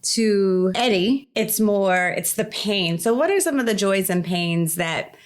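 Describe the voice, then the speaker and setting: A woman's voice: mid-range voice, expressive, measured pace. A woman hosting a podcast, interviewing a guest in a conversational way.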